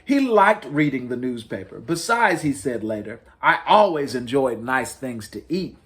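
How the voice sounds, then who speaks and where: A man reading a storybook aloud to children as host, in an expressive, deliberate and emphatic storytelling manner. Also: mid-range voice. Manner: deliberate, emphatic storytelling with dramatic rises and falls.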